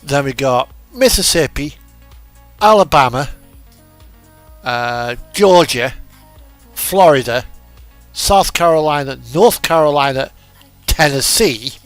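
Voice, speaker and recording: A man's voice: fairly high pitch, animated, takes it slowly, no room sound. A man chatting casually and thinking aloud in a rambling way while playing a driving game. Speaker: video game streamer. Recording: music playing behind the voice. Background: radio music and truck engine.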